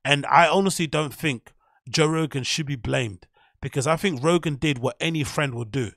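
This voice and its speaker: A man talks through his theory in a loose, meandering way, often correcting himself as he goes. His voice has a gravelly edge. The sound is dry and close, with no room around it. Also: on the high side, normal speed, fairly bright tone, no echo. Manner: rambling, self-correcting, opinionated. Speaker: podcast host. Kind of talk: casual commentary monologue.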